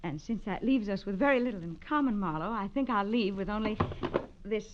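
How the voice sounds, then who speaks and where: An actress playing a brisk, secretive femme fatale who stays cool under pressure, in 1940s radio style. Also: female voice, medium pitch, natural voice. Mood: coldly threatening. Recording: dull, faintly noisy old radio recording.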